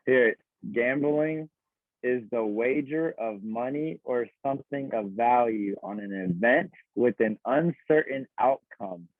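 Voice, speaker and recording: Young son co-host, playful fast banter, thin phone-like dry sound. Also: male voice, medium pitch, no room sound. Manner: quick, laughing, teasing. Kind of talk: casual chat.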